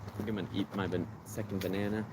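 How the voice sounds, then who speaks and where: Low-voiced young man over loud traffic. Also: little pitch movement, very fast. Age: 20s. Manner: easygoing, loose, answers questions with fillers. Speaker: vlogger. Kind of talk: casual chat to viewers. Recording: loud traffic noise outdoors.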